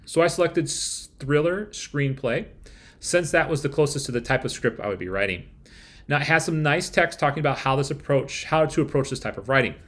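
A man in his forties presenting a scripted review to camera, with wry rhetorical asides. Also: mid-range voice. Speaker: software reviewer. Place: small room at home, indoors.

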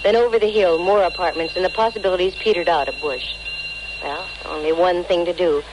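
A woman's voice: fairly low pitch, brisk, natural voice. An actress as wry American lady detective, noisy recording. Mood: wry, narrating. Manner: dry, wry wisecracking. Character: wisecracking, confident female private detective in San Francisco. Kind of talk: 1940s radio acting, first-person noir narration. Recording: noisy 1940s radio recording.

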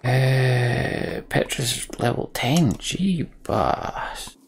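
A man's voice: medium pitch, lively intonation, drawn-out words, vocal fry, slight background noise. A man giving casual let's play commentary, thinking aloud and muttering to himself in short fragments.

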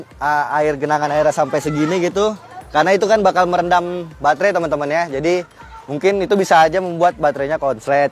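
A young man presenting an on-location vehicle test on a flooded street, chatty and full of fillers. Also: fairly high pitch. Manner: chatty, keeps addressing viewers as friends, many fillers. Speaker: automotive news presenter. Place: outdoors on a flooded residential street.

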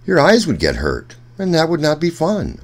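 A man reading a rhyming children's picture book aloud in an expressive, sing-song storyteller's way. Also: on the high side, normal speed. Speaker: children's story reader, host.

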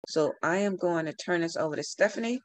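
A middle-aged woman giving relaxed, repetitive gardening advice over a video call from a room at home. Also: on the low side, a little fast, no room sound. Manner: relaxed, advising, repeats herself. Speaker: gardening speaker. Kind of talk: casual online talk. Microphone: video call webcam.

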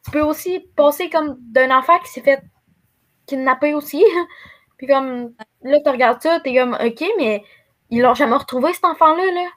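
High-voiced young Québécois woman. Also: normal speed, a bit bright. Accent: Québécois French. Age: young adult. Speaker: talk show panelist. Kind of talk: casual chat. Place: small room at home, on a video call. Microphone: computer mic on a video call.

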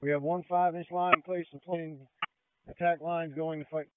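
A man's voice: a little high, flat delivery, normal speed, heavy background noise. A man speaking as a fire officer over two-way radio in terse, procedural emergency phrasing. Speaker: fire officer on incident command. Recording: tinny narrow-band radio transmission.

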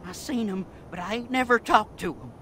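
An actor voicing a frantic, whiny lowlife biker informant with an American accent and slangy grammar in a video game. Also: male voice, fairly quick, fairly bright tone, a little hiss or hum. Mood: scared, pleading. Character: panicky greasy lowlife biker informant, cornered and pleading. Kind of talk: video game cutscene acting.

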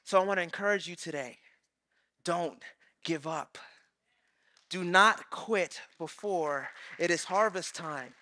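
Pastor, man, 30s, lively stage sermon; high, bright tone, dry close mic. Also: slow pace, long pauses, no room sound. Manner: animated, acts out quoted voices, swings loud and soft. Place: large church stage.

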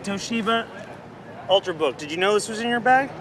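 A man playing a smug, nosy security officer with a deadpan, accusing manner. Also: high-pitched, fairly quick, a crowd in the background. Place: outdoor shopping plaza. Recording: faint outdoor street noise.

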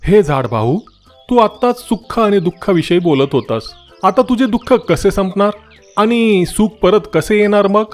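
Man as a high, earnest little myna bird. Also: lively intonation, unhurried, soft background music. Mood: curious. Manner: earnest questioning. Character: male myna bird, small, puzzled, earnest. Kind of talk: children's moral story cartoon voicing.

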